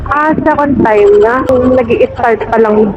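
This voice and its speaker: A woman's voice: on the high side, takes it slowly, music in the background. A Filipino woman talking casually and candidly as a passenger being interviewed on a ride. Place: outdoors on a moving motorcycle. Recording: muffled, loud traffic and engine noise.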